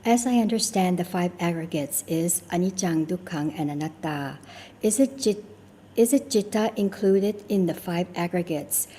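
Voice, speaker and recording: A woman moderating a question session, reading submitted questions aloud with small halting stumbles. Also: on the low side, warm tone. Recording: faint background noise.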